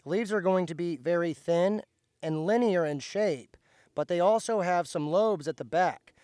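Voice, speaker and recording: A slightly hoarse man, patiently explaining step by step as he teaches plant identification outdoors. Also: high voice, normal speed, a little mellow, no echo, studio quality. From central Indiana, USA. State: slightly hoarse, recovering from lost voice. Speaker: host, foraging teacher. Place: outdoors by a driveway.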